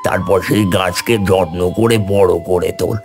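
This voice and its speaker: A fairly low-pitched, wise holy mendicant acted by a man in a cartoon folk tale. He instructs in a calm, measured, fatherly way. Soft music runs underneath. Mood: calm, instructing. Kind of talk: animated folk tale dialogue.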